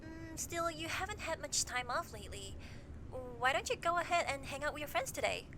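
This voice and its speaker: A woman's voice: high voice, expressive, a little fast, noisy background. A woman voicing a chirpy, upbeat talent manager in an anime dub. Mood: chirpy, businesslike.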